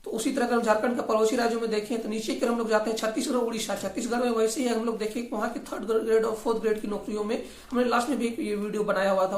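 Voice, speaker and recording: A woman hosts informal political commentary, speaking critically and argumentatively and often repeating her points. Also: medium pitch. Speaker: host of a regional affairs channel. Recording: echoey, roomy voice recording.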